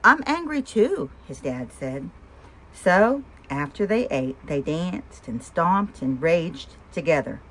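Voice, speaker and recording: Lively low-pitched woman reading a picture book. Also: slow, slight background noise. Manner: asks listeners simple questions between story lines. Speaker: storyteller and host of a read-aloud show. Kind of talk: expressive picture-book read-aloud for young children.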